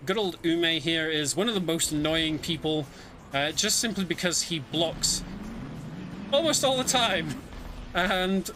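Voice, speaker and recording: A man giving chatty, wry live commentary on a speedrun, telling the story of the game as he plays. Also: on the high side. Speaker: speedrunner commentating own run. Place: home setup, streamed online event. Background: game music.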